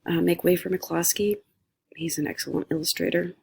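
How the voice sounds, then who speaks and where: Casting: woman, husky with vocal fry, chatty homeschool-mom reviewer, unscripted, thinking aloud as she goes. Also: lowish voice, steady tone, normal speed, a little mellow. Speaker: homeschooling mother reviewing curriculum. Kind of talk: casual unscripted screencast review. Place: indoors, recording a screencast at a computer.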